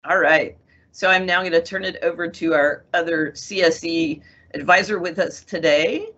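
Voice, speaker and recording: Bright-voiced woman moderating a call. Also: fairly low pitch, normal speed. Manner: friendly, organized introductions. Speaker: moderator, assistant dean. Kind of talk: hosting a video-call panel. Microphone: video call. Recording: dull lo-fi video-call audio.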